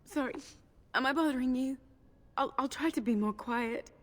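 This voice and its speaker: A woman's voice: high-pitched, moderate pace, lightly acted. A woman playing a frightened, tearful young village girl in a game, timid and pleading as she stammers. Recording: long reverberant hall echo.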